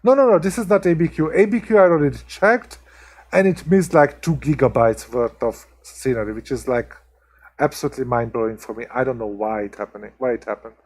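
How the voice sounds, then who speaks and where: A man's voice: mid-range voice, brisk, a little mellow. A man from Hungary with a strong Hungarian accent, chatting casually with viewers as the host of a live stream, full of ums and restarts. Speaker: livestream host.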